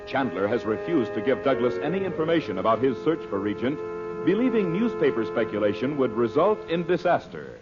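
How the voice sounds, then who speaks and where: Vintage radio announcer, male, polished and declamatory scripted read, lively intonation, music behind. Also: medium pitch, brisk. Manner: formal, declamatory, polished salesmanship. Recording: muffled old radio broadcast recording.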